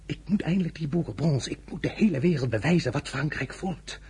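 An actor playing an imperious, ambitious French ruler in a Dutch radio play, speaking with a Dutch accent, commanding and then softly condescending. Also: male voice, on the high side, expressive, a little fast, breathy edge, exaggerated voice. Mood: intimate, brooding. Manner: commanding, shifting to soft intimate condescension.

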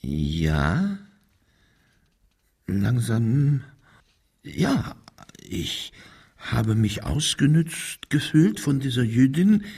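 An actor playing an evasive, bigoted, self-pitying murderer making a halting, defensive confession in a German radio crime drama. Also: male voice, mid-range voice, breathy edge, heavily acted. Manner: halting, defensive, wavering between muted and agitated.

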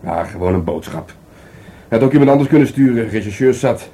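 An actor playing a grumbling but playful police detective in a radio play, speaking informally with a Netherlands Dutch accent. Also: male voice, medium pitch, lively intonation, a little fast, warm tone, slightly rough. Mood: grumbling, sulky. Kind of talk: naturalistic radio play dialogue. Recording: faintly noisy radio play recording.

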